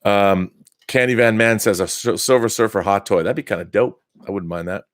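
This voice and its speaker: A man's voice: on the low side, fairly quick, no room sound, clean studio sound. A middle-aged man hosting a casual live stream, reading chat messages aloud and rambling with enthusiasm. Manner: rambling, enthusiastic, frequent restarts and laughs.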